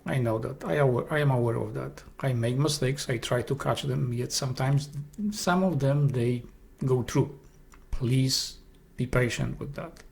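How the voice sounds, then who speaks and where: A man with a Polish accent, Polish his first language, hosting an esoteric presentation in an earnest, halting way with frequent restarts. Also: medium pitch, creaky, darkish tone. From Poland. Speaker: host.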